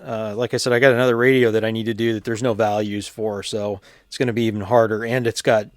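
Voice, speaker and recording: A man giving a casual, unscripted repair walkthrough, plainspoken and thinking aloud with pauses as he works. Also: medium pitch, no room sound, studio quality. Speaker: hobbyist radio repairer presenting his channel.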